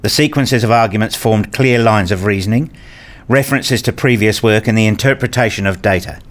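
A man playing an earnest, dryly sarcastic university researcher in a scripted radio play, with a deadpan, formal way of talking. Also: fairly low pitch, fairly quick, lightly acted. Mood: reflective. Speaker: narrator and lead actor in a radio play. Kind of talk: first-person scripted radio play narration and dialogue.

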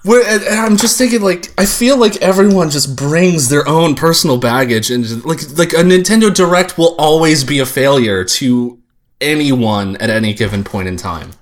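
A man's voice: on the high side, expressive, moderate pace. A man chatting casually and giving opinions as a podcast panelist, wry and rambling, often repeating words.

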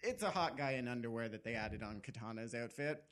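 A man's voice: medium pitch, lively intonation, a little fast, a bit bright. A man on a podcast panel chatting casually, cheeky and playful. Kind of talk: casual group chat.

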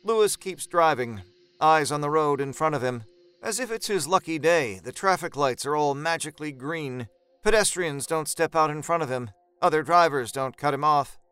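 Higher-voiced male horror story narrator, music behind. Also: moderate pace, a bit bright, clear, resonant tone, dry recording. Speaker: narrator of an audio drama.

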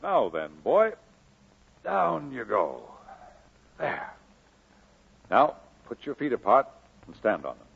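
An actor playing a kindly, earnest, encouraging minister in an old radio drama, exhorting in a solemn, measured way. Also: male voice, medium pitch, huge pitch swings, creaky, slightly rough, heavily acted. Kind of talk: 1940s radio drama acting. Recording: muffled old radio broadcast.

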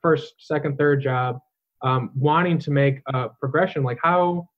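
A man's voice: mid-range voice, steady tone, unhurried. A young man hosting an interview, asking long, rambling questions full of filler words. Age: young adult. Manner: rambling, talkative, heavy use of filler words. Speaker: podcast host. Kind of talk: casual interview. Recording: tinny phone-line sound.

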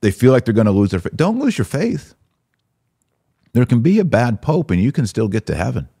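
A man's voice: mid-range voice, sing-song, a little fast, gravelly, mellow, husky. A man hosting a podcast, giving opinionated religious commentary in an emphatic, exhorting manner with rhetorical questions. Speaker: podcast host.

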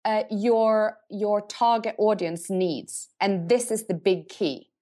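A middle-aged woman speaking English, answering interview questions thoughtfully and often pausing to rephrase. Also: medium pitch, steady tone, mellow. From Norway. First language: Norwegian. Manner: thoughtful, pauses to search for words and rephrases. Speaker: agency CEO, guest.

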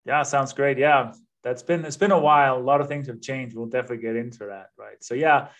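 A man's voice: mid-range voice, brisk, a bit bright. A man in his fifties from Denmark, speaking English with a Danish accent as an interview guest, earnest and reflective with fillers. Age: 50s. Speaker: guest, real estate investor. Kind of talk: casual interview chat.

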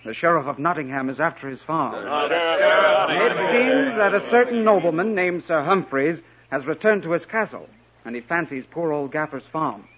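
An actor playing a merry, bold, confident outlaw leader in a 1950s radio drama, with hearty storybook speech. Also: male voice, on the high side, lively intonation, moderate pace, a bit bright. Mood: earnest, resolute. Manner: hearty, declamatory, old-fashioned storybook speech. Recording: dull old radio recording.

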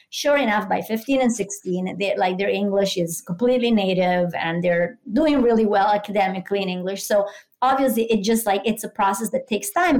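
A woman's voice: mid-range voice, a little mellow. A middle-aged woman, a native Italian speaker with an Italian accent, explaining things conversationally as an expert interview guest.

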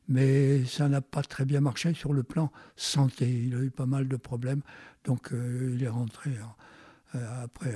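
A Frenchman in his nineties shares memories in an interview. His voice is dark and husky, recorded dry with little room sound. He speaks haltingly, searching for his words as he goes. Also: mid-range voice, no echo. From France. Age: 90s. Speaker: interview guest recalling his life. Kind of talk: unscripted reminiscence.

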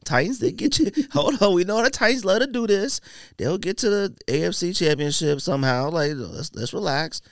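A man chatting casually about sports as a podcast co-host, in an informal, slangy, animated way. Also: high-pitched, normal speed, no echo, clean studio sound.

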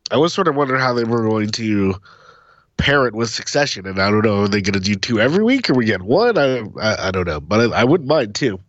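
A man with a slight cold hosting a podcast, talking casually with a lively, bouncing pitch. His voice sits mid-range and is recorded dry and close, with no room sound. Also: quick pace, no echo, muffled recording. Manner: relaxed, conversational, lively intonation. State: has a cold, rough voice. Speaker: podcast co-host. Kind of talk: casual chat.

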